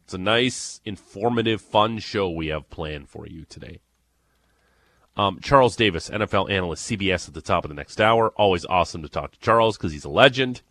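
A man with an Ontario accent hosting a morning sports radio show, chatting and teasing with excitable energy. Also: sits low, fairly quick. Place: radio studio. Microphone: studio microphone.

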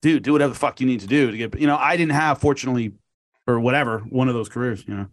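A man's voice: medium pitch, rapid-fire. A man calling in as a guest on a radio show, talking bluntly and profanely over a headset. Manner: blunt, profane, matter-of-fact. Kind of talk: casual call-in chat.

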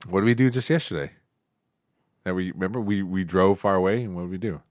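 Very deep-voiced playful dad host. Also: male voice, quick pace, no echo, clean studio sound. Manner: playful, gently teasing, coaxing questions. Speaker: podcast host talking with his young daughter. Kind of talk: casual family chat.

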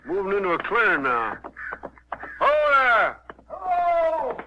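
An actor playing a plainspoken small-town Texas sheriff with folksy, clipped talk in a 1950s western radio drama. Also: male voice, high voice, wildly swooping pitch, slow pace, exaggerated voice. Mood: alert. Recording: muffled, noisy old radio recording.

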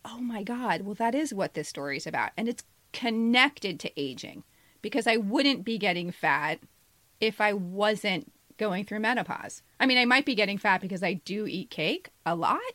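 A woman's voice: mid-range voice, a bit bright, no echo. A woman in her fifties, a podcast co-host and writer, reflecting casually and candidly with a self-deprecating manner. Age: 50s.

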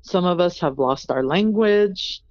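A chef answering interview questions in an earnest, thoughtful way, often pausing on "you know". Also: medium pitch, animated, highs cut off.

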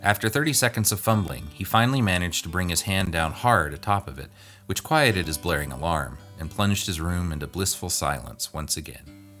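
Deep-voiced man narrating a story over music. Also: expressive, moderate pace, fairly bright tone. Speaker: guest reader.

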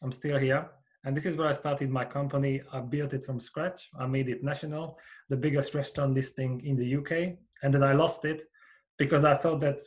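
A man from Hungary with a Hungarian accent, a company founder and coach speaking earnestly as a podcast guest, letting his phrases fade at the ends. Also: mid-range voice. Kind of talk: podcast interview.

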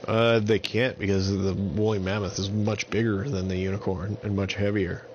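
A man's voice: fairly low pitch, flat delivery, moderate pace, darkish tone, lo-fi sound, a little hiss or hum. A man telling an improvised bedtime story to small children in simple, repetitive phrases.

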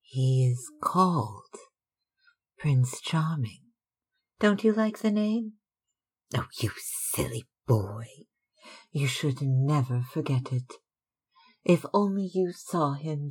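A man's voice: on the high side, takes it slowly, slightly rough, pitched above the performer's own voice, heavily acted. A man voicing Sybil, a giddy lovestruck young woman. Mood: gushing. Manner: gushing, excited, exclamatory. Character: eager lovestruck young woman, a stage actress. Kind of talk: audiobook dialogue reading.

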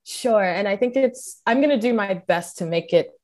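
A woman's voice: medium pitch, expressive, normal speed. A woman in her thirties with an American accent, chatting as a podcast guest, laughing often and poking fun at herself. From United States and Cameroon. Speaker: podcast guest, career counselor. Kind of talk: casual interview conversation.

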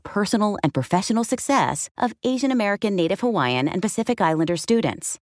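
A woman's voice: medium pitch, no room sound, studio quality. A woman reading an ad in a bubbly, enthusiastic manner. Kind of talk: upbeat read ad.

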